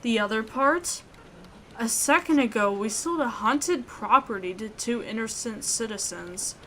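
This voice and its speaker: Bright, high-voiced woman reading aloud. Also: lively intonation, measured pace, slight background noise. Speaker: gaming YouTuber reading aloud. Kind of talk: visual novel let's play, dramatic reading with reactions.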